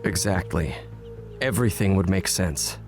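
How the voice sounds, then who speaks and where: A low-voiced, serious ninja veteran played by a man, composed and measured as he lays out facts. It is anime game dialogue with music clearly playing behind it. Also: close to an everyday voice. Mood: grave, analytical. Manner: measured, serious, matter-of-fact. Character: stern, composed ninja father and seasoned investigator. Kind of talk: anime video game cutscene acting. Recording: background music under the dialogue.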